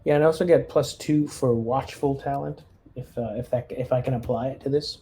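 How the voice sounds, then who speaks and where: Mellow-voiced man playing a tabletop game. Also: medium pitch, steady tone, a little fast, slight background noise. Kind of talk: casual tabletop game chat.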